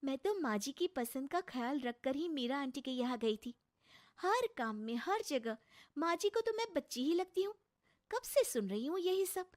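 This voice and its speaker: A woman's voice: high-pitched, lively intonation, moderate pace, pitched above the performer's own voice. A woman voicing a dutiful, meek and aggrieved young daughter-in-law in a story. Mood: hurt.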